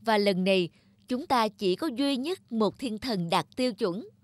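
A woman voicing a gentle, patient grown-up angel who teaches in a measured way in a children's cartoon. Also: a little high, lively intonation, no room sound. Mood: solemn praise. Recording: loud background music under the voice.

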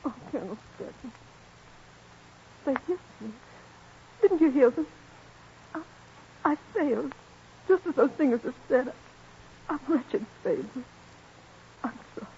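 Very high, emotional young American prima donna. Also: female voice, expressive, measured pace, pauses often, dark tone, slight background noise. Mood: despairing. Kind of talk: old-time American radio acting, theatrical. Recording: muffled old radio recording.

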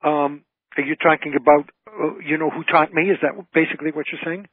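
A man's voice: fairly high pitch, flat delivery, brisk, breathy edge, dry recording. A man chatting casually as a guest on a radio interview, speaking over a phone line. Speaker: radio show guest, entertainer and trivia author. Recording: tinny, band-limited phone line.

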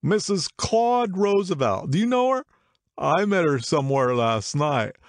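A man voicing an excitable, tipsy middle-aged man who insists over and over, in a much higher voice than his own. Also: a little slow, no room sound, studio quality. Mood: excited insistent.

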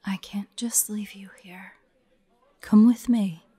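Woman playing a slow, breathy, haunted young huntress. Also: mid-range voice, fairly even intonation, warm tone. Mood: wistful, subdued. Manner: slow, halting, haunted storytelling. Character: forlorn young demon hunter woman, grieving and vengeful. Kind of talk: dramatic audio roleplay monologue.

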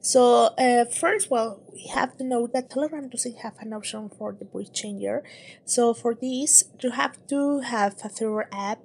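A woman's voice: on the high side, measured pace, gravelly, a little hiss or hum. A woman narrating a casual how-to tutorial as its host, explaining simply step by step with some repetition.

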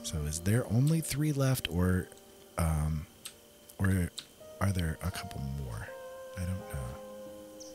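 A man's voice: low-pitched, animated, slow, pauses often. A man giving casual, easygoing gameplay commentary, musing and talking to himself. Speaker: gameplay host. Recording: loud game music behind. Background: game music and sounds.

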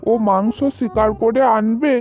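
A sly old fox from a children's cartoon acted out by a woman, pitched high, with music playing behind. The fox is weary and scheming, with a wheedling, mocking way of talking. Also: unhurried, natural voice. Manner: wheedling, mocking, plotting aloud. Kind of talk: children's cartoon folk tale.

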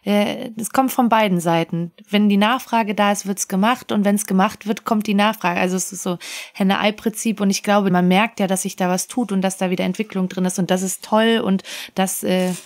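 A woman with a medium-pitched voice co-hosts a podcast. She talks in a casual, conversational style. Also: fairly even intonation, normal speed. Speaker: podcast co-host.

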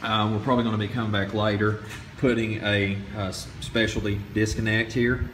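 A man's voice: on the low side, flat delivery, brisk, a bit bright. A young man hosting an informal video tour as a contractor, talking in a rambling, folksy way. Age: young adult. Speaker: off-grid solar contractor, video host. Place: hilltop job site, in and out of doors. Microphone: phone held by the speaker. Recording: noisy handheld recording with wind.

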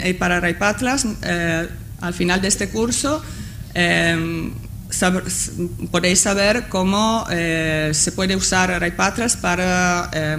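A woman's voice: on the low side, vocal fry, a bit bright, slight background noise. Italian-native woman instructor, 30s, hesitant and filler-heavy, conference table mic. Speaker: course instructor. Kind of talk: tutorial presentation. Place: conference room, seated at panel table. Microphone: table microphone.

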